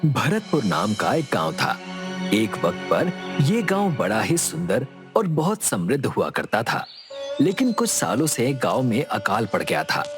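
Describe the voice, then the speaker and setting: A man reads a scripted children's tale as its narrator. His voice is mid-pitched and moves about in a lively way, with music clearly behind it. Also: a little slow. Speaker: story narrator.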